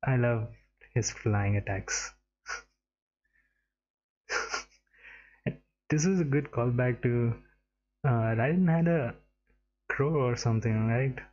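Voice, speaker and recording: A man's voice: medium pitch, darkish tone, lo-fi sound, a little hiss or hum. A young man from India giving casual reaction commentary as a streamer, on a headset. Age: young adult. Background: game trailer music.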